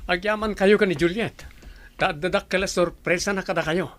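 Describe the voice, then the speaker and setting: A man with an Ilocano accent playing an affectionate, excitable young husband in a radio drama, full of exclamations. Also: sits high, moderate pace, slight background noise. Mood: delighted.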